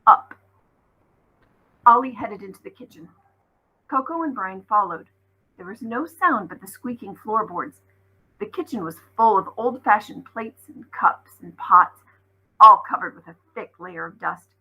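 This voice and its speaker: Bright, husky woman reading a story. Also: medium pitch, lively intonation, normal speed, poor audio. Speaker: teacher reading aloud. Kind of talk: expressive children's audiobook narration.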